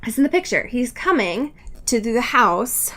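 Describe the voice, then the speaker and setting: A woman's voice: fairly high pitch, big pitch swings, a little slow, fairly bright tone. An American woman co-hosting a podcast, chatting casually through a comedic book recap in a slangy, mock-exasperated way. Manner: exasperated mock-dramatic delivery, slangy, giggly. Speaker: podcast co-host.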